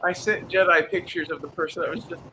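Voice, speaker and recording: A man's voice: fairly high pitch, fairly even intonation, fast talker, background noise. A man chatting candidly and bluntly on a podcast, laughing often. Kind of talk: casual podcast chat.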